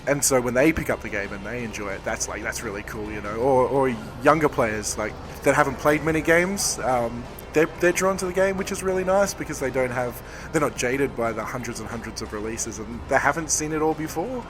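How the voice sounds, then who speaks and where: Hoarse man at a convention. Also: medium pitch, a little fast, music in the background. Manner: rambling, self-correcting, thoughtful. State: hoarse, losing his voice. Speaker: indie game developer. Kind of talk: convention floor interview. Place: busy convention floor.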